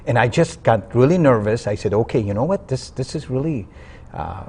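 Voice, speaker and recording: A man from Afghanistan, a guest on a religious talk program, telling his conversion story earnestly, halting with fillers and restarts. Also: mid-range voice, expressive, gravelly, darkish tone, slightly rough. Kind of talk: interview testimony.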